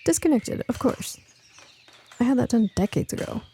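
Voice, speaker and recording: A woman's voice: fairly high pitch, expressive, normal speed, dark tone, breathy edge, pitched above the performer's own voice, full character voice. A woman voicing a spry, feisty older female neighbor in an audiobook, with a folksy, bossy and affectionate way of talking. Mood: amused, dismissive.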